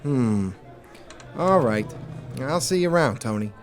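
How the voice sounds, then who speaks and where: Man acting a blunt, deadpan noir detective. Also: mid-range voice, a little slow, faint music behind, natural voice. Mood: wry. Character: hardboiled, blunt male private investigator in noir mystery. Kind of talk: noir radio drama with first-person narration.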